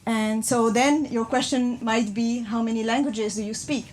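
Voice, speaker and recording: A woman's voice: fairly high pitch, steady tone, normal speed, darkish tone. A woman who speaks English as a non-native language, Portuguese being her first, giving a hesitant, conversational talk to passengers in a train carriage. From born in Brazil, grew up in Pakistan, Japan and France. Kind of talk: public talk to a live audience. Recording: noisy moving train carriage. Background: clear background noise, train ambience.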